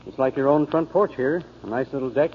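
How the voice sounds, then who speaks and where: A man's voice: mid-range voice, fairly quick, close to an everyday voice. An actor playing a mild, talkative night watchman and homespun philosopher, genteel and wordy, in 1940s radio drama style. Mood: dryly amused. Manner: genteel, wordy, reflective phrasing. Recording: muffled, hissy 1940s radio broadcast recording.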